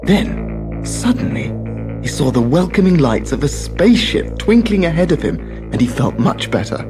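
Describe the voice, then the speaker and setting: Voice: medium pitch, big pitch swings, normal speed, music in the background. A storyteller reading a children's tale as dramatic scripted narration.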